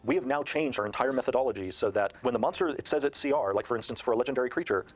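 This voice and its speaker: A man's voice: mid-range voice, animated, very fast, a little hiss or hum. A man explaining as a game designer in an articulate way, in long, detailed sentences. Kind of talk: promotional discussion, explaining.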